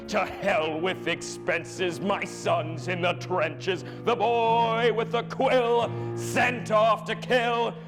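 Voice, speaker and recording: A man playing a guilt-ridden mayor and father in a stage musical, melodramatic and declamatory. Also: sits high. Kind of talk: theatrical musical acting. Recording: hall reverb, music behind.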